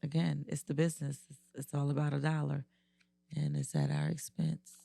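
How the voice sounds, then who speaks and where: A woman with a raspy voice co-hosting a podcast in casual chat, playful and quick to laugh while teasing back. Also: low-pitched, very animated, warm tone, dry recording. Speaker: podcast co-host.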